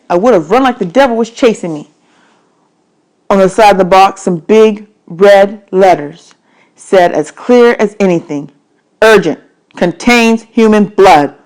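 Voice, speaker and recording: A woman with a slightly low voice narrates a children's novel. She reads expressively like a teacher reading aloud, placing deliberate emphasis on key phrases. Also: darkish tone, poor audio.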